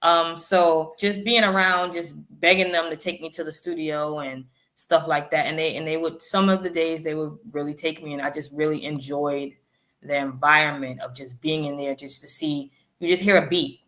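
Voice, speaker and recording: Woman in her 30s, low voice, chatty and animated, rapper on an interview. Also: moderate pace. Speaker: rapper guest. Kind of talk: interview storytelling.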